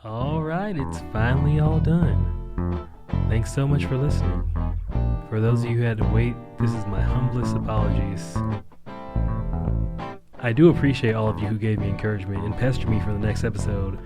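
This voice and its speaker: A man's voice: lowish voice, normal speed, pauses often. A man who makes a podcast, giving an informal, earnest sign-off to his listeners. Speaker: podcast creator. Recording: voice under loud music.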